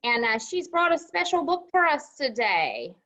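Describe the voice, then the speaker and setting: High, swooping woman reading children's stories. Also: very animated, moderate pace, pushed and bright. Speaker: co-host of children's story show. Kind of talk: children's read-aloud with chat. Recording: muffled lo-fi audio.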